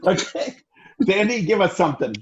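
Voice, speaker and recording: A man leading a group warm-up on a video call, coaxing others to join in. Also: sits high, animated, moderate pace. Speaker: meeting activity leader. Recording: dull lo-fi video call audio.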